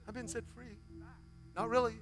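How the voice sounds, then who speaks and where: A high-pitched, bright male pastor preaching from a church stage, surging loud then dropping to a hush. His voice is sharp and forceful, with faint music underneath. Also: flat delivery, moderate pace. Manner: swings from loud, high exhortation to soft, near-whispered appeals. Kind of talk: sermon.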